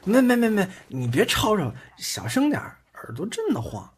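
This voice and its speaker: A man's voice: high voice, huge pitch swings, normal speed. A man acting a cheerful, doting young man in a Chinese audio drama, playful and boyish. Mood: flustered.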